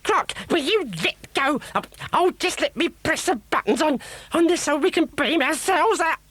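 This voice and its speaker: A man's voice: very high-pitched, moderate pace, fairly bright tone, a little hiss or hum, pitched above the performer's own voice. A man voicing a bossy, irritable witchy villainess who scolds and threatens imperiously in a children's story. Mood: flustered, furious.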